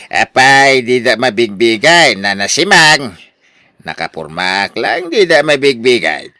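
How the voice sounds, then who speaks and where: A male actor plays a boastful older husband in a Filipino radio drama, with an Ilocano accent. The pitch swings widely and the tone is bright and forceful. He is expansive and full of exclamations, recorded close and dry. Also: sing-song, takes it slowly, no room sound, full character voice. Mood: breezy, generous. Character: boastful, generous older husband, newly rich lottery winner.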